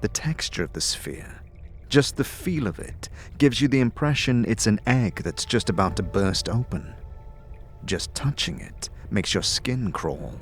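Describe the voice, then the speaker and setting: A man with a fairly deep voice narrates a fantasy audio drama. His delivery is scripted, measured and dramatic, addressing the listener as the hero, with soft music underneath. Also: expressive.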